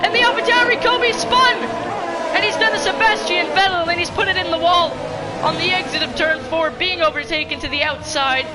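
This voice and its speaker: A woman commentating a sim race live, excitable and slightly hoarse from losing her voice. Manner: excitable, rising pitch in close battles. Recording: loud crowd and engine noise behind. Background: race car engines and crowd ambience.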